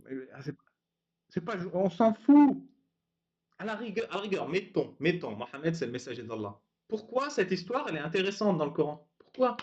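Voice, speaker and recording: A man's voice: high-pitched, very animated, moderate pace, a little mellow. A man hosting a casual talk, sarcastic and laughing often. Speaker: host of a debate channel. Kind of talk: casual mocking chat. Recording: dull lo-fi sound.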